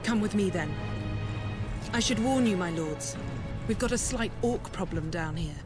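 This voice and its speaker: A woman's voice: medium pitch, lively intonation, normal speed, music in the background, lightly acted. A woman playing a weary but resolute army lieutenant in a video game, dry and clipped in manner. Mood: grim, dry.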